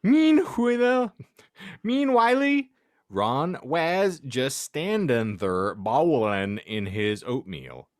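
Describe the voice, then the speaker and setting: A man's voice: mid-range voice, sing-song, drawn-out words, dry recording, clean studio sound. A man hosting a podcast, reading fan fiction theatrically for comedy and exaggerating oddly spelled words. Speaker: podcast host and narrator.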